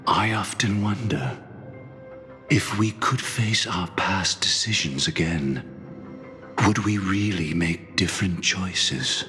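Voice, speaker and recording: A world-weary, courteous schemer in a video game. His voice is low and unhurried, formal and reflective, trailing long reverb as music plays behind him. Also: bright, forceful tone, exaggerated voice. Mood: grave, reflective. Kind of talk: scripted game voice acting, dramatic dialogue.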